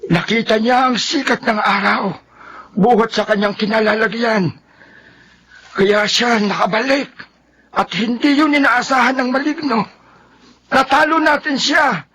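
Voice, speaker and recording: A man's voice: extremely high pitch, a bit bright, husky, exaggerated voice. An actor playing a determined man battling an evil spirit, urgent and emphatic, in a horror radio drama. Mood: relieved. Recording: noisy radio drama track.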